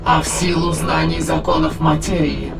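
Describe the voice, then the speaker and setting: An actor playing a cold, detached alien entity that inhabits a human body, speaking in measured, formal phrases with a gravelly voice in an audio play. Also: male voice, fairly high pitch, steady tone, low-quality recording. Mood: cold, assured. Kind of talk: dramatic audio play acting. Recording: voice under prominent music.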